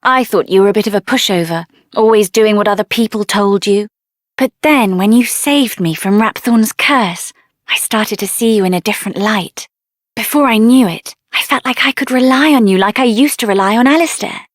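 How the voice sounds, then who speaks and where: An actress voicing a spirited, headstrong young woman adventurer in a video game, expressive and earnest, with a tender side. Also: medium pitch, moderate pace, no room sound, studio quality, close to an everyday voice. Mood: tender, happy.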